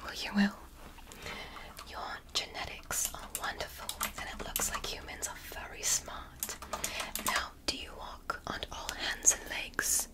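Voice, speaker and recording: A woman whispering in ASMR roleplay as a curious, gentle alien doctor examining a human, speaking slowly and clinically with a breathy whisper up close to the mic. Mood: soothing curious. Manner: slow, soft, clinical and fascinated. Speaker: ASMR creator. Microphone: close sensitive microphone.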